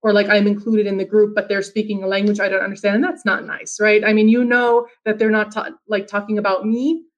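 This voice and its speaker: A woman's voice: mid-range voice, little pitch movement, brisk, hardly any pauses, highs cut off. A woman from Finland with an American accent, whose first language is Finnish, talking as a guest in a casual conversation and rambling with frequent restarts and fillers.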